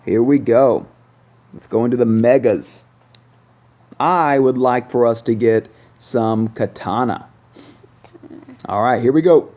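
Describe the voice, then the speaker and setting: Mellow male gaming host, slightly muffled. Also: medium pitch, slow, long pauses, faint music behind. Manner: conversational, thinking aloud. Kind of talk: casual game review commentary. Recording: dull, lo-fi sound.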